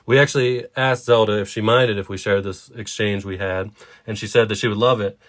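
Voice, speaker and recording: A man with a fairly low voice speaks as a podcast co-host. He discusses writing conversationally, explaining his thoughts in a reflective manner. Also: fairly even intonation, brisk, fairly bright tone.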